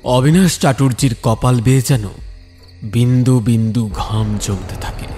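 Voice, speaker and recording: A man's voice: medium pitch, unhurried, dark tone, faint music behind. A man narrating a scripted ghost story dramatically, building suspense phrase by phrase.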